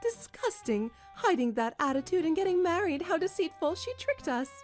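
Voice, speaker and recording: A woman puts on an older mother-in-law from a manga drama. Her voice is high and fussy, doting toward her son and judgmental toward his wife. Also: very animated, normal speed, soft background music. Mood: scornful.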